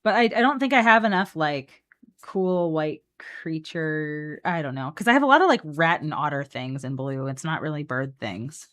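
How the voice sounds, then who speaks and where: Animated woman, lowish voice, chatting casually. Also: normal speed. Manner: animated, thinking out loud. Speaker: card game streamer. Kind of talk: casual live-stream chat while building a card deck.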